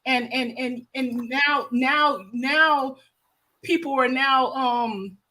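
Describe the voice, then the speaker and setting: An American woman with a high-pitched voice speaks as a livestream host. Her commentary is casual and impassioned. She is emphatic, often repeating phrases to stress a point. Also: slow pace, pushed and bright, poor audio.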